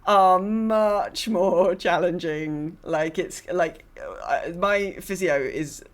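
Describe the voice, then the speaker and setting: A woman in her mid-forties co-hosting a podcast, chatting casually in a rambling, self-deprecating and expressive way. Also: lowish voice, takes it slowly. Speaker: podcast co-host. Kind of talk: casual chat.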